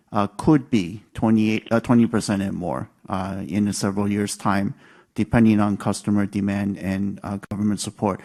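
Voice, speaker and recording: Man, low pitch, call moderator, orderly, explanatory, restating questions. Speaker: conference call moderator, investor relations.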